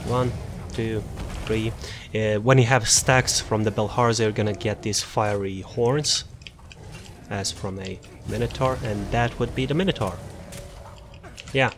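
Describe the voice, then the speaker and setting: A man casually walking through a game character build, relaxed and rambling, with frequent fillers and restarts. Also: lowish voice, background noise. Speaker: gaming content creator. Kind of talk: casual build showcase commentary.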